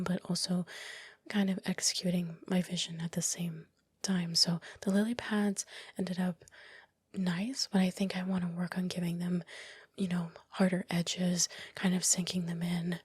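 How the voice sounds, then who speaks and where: A woman giving soft, unhurried ASMR commentary in a breathy whisper, explaining informally, close to the microphone. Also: fairly low pitch, no echo. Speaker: video creator.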